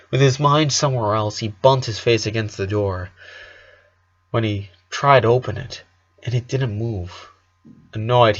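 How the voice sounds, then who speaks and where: Male story narrator, medium pitch, amateur read with the odd stumble, slightly lo-fi sound. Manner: occasional stumbles over words while reading. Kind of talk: fan fiction read aloud.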